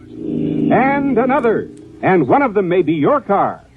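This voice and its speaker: High-voiced male adman on tinny old radio. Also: very animated, unhurried, vocal fry, background noise. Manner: emphatic, repetitive salesman delivery. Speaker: commercial announcer. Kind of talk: 1950s radio advertisement pitch. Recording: muffled, phone-like old radio recording.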